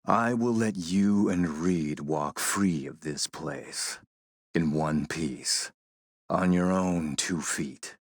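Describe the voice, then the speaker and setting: Deep, slow, menacing warlord. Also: male voice, dry recording, studio quality. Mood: quiet threat. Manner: measured, courteous, sardonic menace. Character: menacing, suave male warlord, coolly polite and mocking. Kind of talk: video game villain acting.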